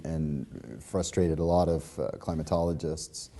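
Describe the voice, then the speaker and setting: A man speaking in a documentary interview, halting and repeating words. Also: extremely low pitch, flat delivery, over loud music. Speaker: commentator in a documentary clip.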